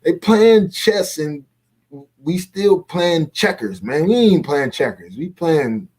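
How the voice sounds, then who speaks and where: A man's voice: fairly high pitch, lively intonation, takes it slowly, darkish tone, husky. A man in a casual livestream discussion, talking in an opinionated, colloquial and emphatic way, repeating phrases to press his points. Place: remote video call.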